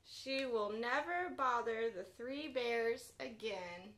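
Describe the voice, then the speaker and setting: Bright, high-voiced young woman reading to children. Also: slow pace, rough recording. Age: young adult. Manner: expressive, addressing young listeners directly. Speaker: children's storytime host. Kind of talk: read-aloud picture book storytelling for children. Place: bedroom, sitting up in bed.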